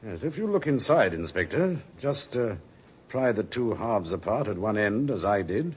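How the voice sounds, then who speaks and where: A man's voice: lowish voice, very animated, moderate pace. An actor playing a polite, astute male private detective in a 1950s radio drama, deferential and a little wry. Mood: astute, confiding. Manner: deferential, slightly hesitant, wry. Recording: muffled 1950s radio recording, faint noise.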